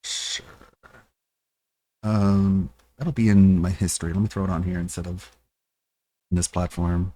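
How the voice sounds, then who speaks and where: Male streamer, low voice, mocking reaction chat. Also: level tone, measured pace, pauses often, warm tone, no echo. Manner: sarcastic, mocking.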